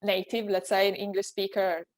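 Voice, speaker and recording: A woman's voice: mid-range voice, little pitch movement, normal speed, no room sound. A woman with an Italian accent, a native Italian speaker, giving practical advice as a webinar panelist over a video call and often restarting her phrases. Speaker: webinar panelist, tax advisor.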